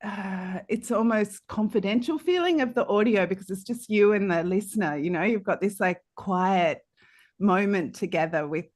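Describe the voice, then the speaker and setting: A woman's voice: medium pitch, normal speed, darkish tone. A woman in a conversational panel discussion, asking questions tentatively and searching for her words as she goes.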